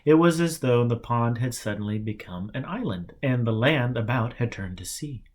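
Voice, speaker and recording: A man's voice: mid-range voice, lively intonation, moderate pace, darkish tone. A man reads a novel aloud in a mid-range voice. He narrates in the first person as a storyteller. The delivery is scripted storybook reading. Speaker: audiobook reader. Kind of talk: storybook narration with acted dialogue.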